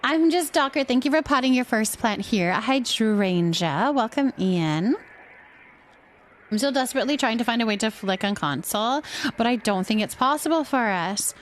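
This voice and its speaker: A woman's voice: fairly high pitch, lively intonation, moderate pace, slight background noise. A woman hosting a game livestream, chatting casually with viewers and helpfully explaining steps as she plays. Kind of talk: casual livestream chat while playing.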